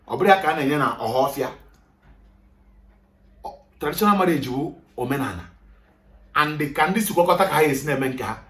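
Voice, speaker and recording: A man with a Nigerian Igbo accent lectures a group with emphatic, insistent delivery. He switches between English and Igbo, and his voice sits a little high. He sounds distant from the mic in an echoey room. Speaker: speaker on culture. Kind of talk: lecture-style talk to a group.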